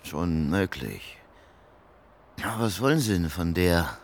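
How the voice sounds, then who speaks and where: An actor playing a talkative, sly homeless beggar in a radio crime drama, speaking colloquially and theatrically. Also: male voice, low-pitched, sing-song, takes it slowly, full character voice. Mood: wary, agitated.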